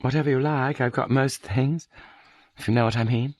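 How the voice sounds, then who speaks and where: A man's voice: mid-range voice, fairly even intonation, normal speed, darkish tone, no room sound, voice pushed up, lightly acted. A man voicing a boozy, self-mocking woman with a wry, loose way of talking. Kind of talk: audiobook character voice.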